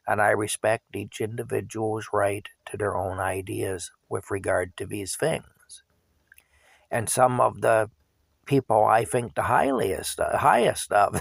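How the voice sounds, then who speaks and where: Hoarse, gravelly-voiced man speaking earnestly. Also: fairly low pitch, no room sound. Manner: earnest, plain-spoken, repeats himself for emphasis. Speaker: vlogger. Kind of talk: candid personal monologue to viewers.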